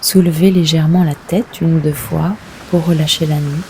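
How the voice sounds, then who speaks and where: A woman leading a guided yoga nidra meditation, giving gentle, unhurried instructions with long pauses. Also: low voice, steady tone, a little hiss or hum.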